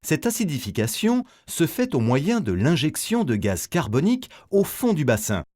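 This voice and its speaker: Male explainer narrator, very animated intonation. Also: a little high, moderate pace. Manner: didactic, step-by-step explaining. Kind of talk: scripted educational narration.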